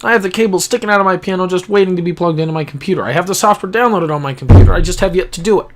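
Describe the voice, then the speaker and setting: A young man talking earnestly and reflectively into his camera in a rambling personal vlog, pausing and restarting as he thinks. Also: high voice, background noise. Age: young adult. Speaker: gaming YouTuber. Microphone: camera-mounted microphone, close.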